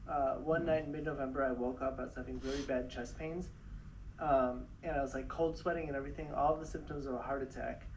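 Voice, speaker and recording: A man's voice: mid-range voice, fairly even intonation, fairly quick, far from the mic, dull audio, slight background noise. Hesitant man telling a story from afar. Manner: hesitant, filler-laden recounting. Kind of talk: personal story clip.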